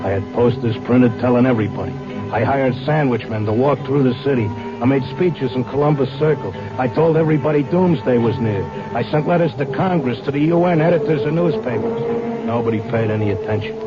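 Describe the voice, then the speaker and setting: An actor playing a wisecracking, stubborn American racing tipster in a radio drama, talking and narrating in plain slang. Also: male voice, mid-range voice, fairly quick, natural voice. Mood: weary, urgent recounting. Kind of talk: mid-century radio drama acting and first-person narration. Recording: dull vintage radio recording with music.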